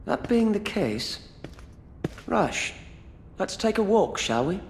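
Young duke for a fantasy game: formal, polite, self-assured, melodic delivery, heard in a reverberant hall. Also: male voice, sits high, very animated, full character voice. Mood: composed, assured. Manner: formal, courtly, measured phrasing. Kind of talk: video game cutscene acting. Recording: long hall reverb, background noise.

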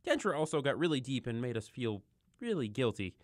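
An actress playing a flippant, wisecracking co-narrator of Greek myths in an audio drama, with casual comic delivery. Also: female voice, rumbling low, very animated, fairly quick, no room sound, studio quality.

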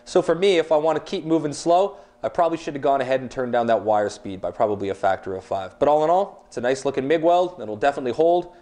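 A man in his thirties giving a casual demonstration of a welding machine in a workshop, talking in a relaxed, plain-spoken way with fillers. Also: mid-range voice, fast, hardly any pauses.

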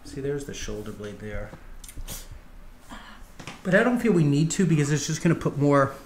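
A middle-aged man, a chiropractor calmly instructing and explaining adjustments to a patient as he works. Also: a little high, normal speed. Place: small indoor treatment room. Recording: echoey room with background noise.

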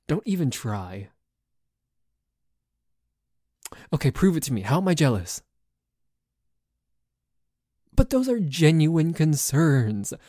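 A man's voice: medium pitch, a little mellow, no room sound, clean studio sound. A man playing a dorky, jealous boyfriend in an ASMR roleplay, complaining in a stammering, exasperated way that sometimes drops toward a whisper. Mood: indignant, defensive. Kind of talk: ASMR roleplay audio drama.

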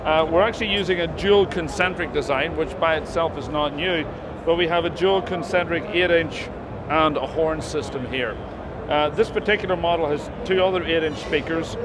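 A man with a slightly high voice presents a product off the cuff in technical detail. He is speaking in a busy exhibition hall, with heavy background noise all around him. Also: normal speed. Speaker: company representative presenting a product. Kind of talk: unscripted product demonstration. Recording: noisy trade-show hall, loud background bustle.

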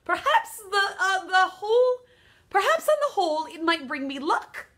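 A woman voicing a cautious, fussy kangaroo in a children's poem, with a put-on Australian accent and deliberate delivery. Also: extremely high pitch, big pitch swings, a bit bright, voice pushed up, heavily acted. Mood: doubtful, fussy.

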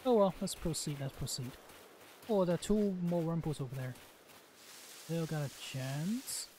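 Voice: medium pitch, a little slow, dark tone, a little hiss or hum. A gamer giving casual, exclamatory gameplay commentary, reacting aloud to the play. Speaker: gaming host.

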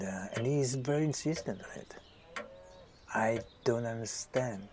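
A man's voice: mid-range voice, noisy background, close to an everyday voice. A man playing a mild, bemused writer in a naturalistic audio drama, hesitant and understated. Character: mild, bemused male writer, polite houseguest.

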